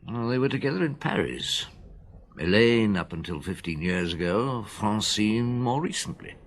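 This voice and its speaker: A reflective detective muses over a case in a radio drama. His voice is very deep, and his pitch swings widely as he thinks aloud. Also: big pitch swings. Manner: musing, measured. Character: reflective veteran detective narrating.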